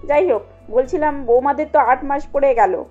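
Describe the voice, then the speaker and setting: A woman's voice: high voice, brisk, narrow, tinny audio, faint music behind, close to an everyday voice. A woman voicing a pushy, self-important middle-aged mother-in-law in a cartoon, breezy and falsely sweet as she makes demands. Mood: sweetly demanding. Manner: breezy, insistent, polite demands.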